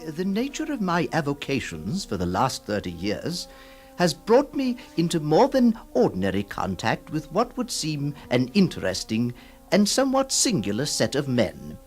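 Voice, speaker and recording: A man's voice: a little high, huge pitch swings, moderate pace, a little mellow, soft background music. A man playing a flustered middle-aged lawyer who narrates and stammers, in an old radio drama. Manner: hesitant, stammering, fussy. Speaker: narrator and lead actor.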